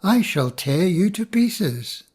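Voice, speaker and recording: A man's voice: high-pitched, very animated, slow, darkish tone, husky, voice pushed up, heavily acted. A man voicing a greedy, hot-tempered male bear in a storybook reading, blunt and demanding.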